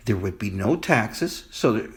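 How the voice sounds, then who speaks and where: An idealistic male visionary chef, acted by a man in a homemade audio drama. He is courteous and measured, explaining his ideas to a president in an everyday voice. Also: on the low side, animated. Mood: calm, idealistic.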